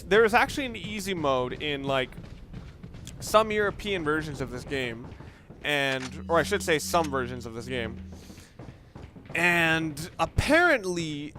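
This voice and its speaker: A man's voice: fairly high pitch, sing-song, measured pace, pushed and bright, a little hiss or hum. A man giving casual let's play commentary in a rambling, conversational way, often correcting himself as he goes. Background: game music.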